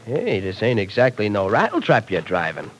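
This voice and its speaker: A man's voice: on the low side, wildly swooping pitch, moderate pace. An actor playing a brash, streetwise young man who talks in slangy tough-guy wisecracks, in 1940s radio acting style. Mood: teasing.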